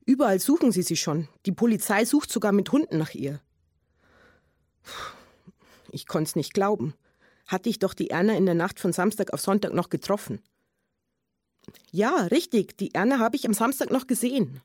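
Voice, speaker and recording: A woman's voice: medium pitch, very animated, a little fast, a little mellow, breathy edge, dry recording, studio quality. An actress playing an earnest married Munich woman giving testimony in a radio drama, chatty and emphatic, with a Bavarian accent. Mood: earnest, insistent. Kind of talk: radio drama witness monologue.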